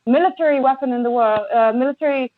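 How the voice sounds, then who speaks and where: Woman, podcast guest, impassioned, stumbling restarts, fairly high voice, tinny call audio. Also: no room sound. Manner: halting, many restarts and repeated words. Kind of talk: impassioned conversation. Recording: tinny phone-like line, dry.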